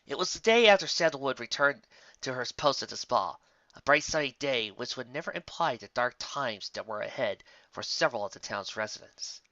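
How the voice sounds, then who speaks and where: Story narrator: animated, forceful, dramatic read, close and dry, slightly muffled. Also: medium pitch, a little fast, no room sound. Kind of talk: scripted fan-fiction story narration.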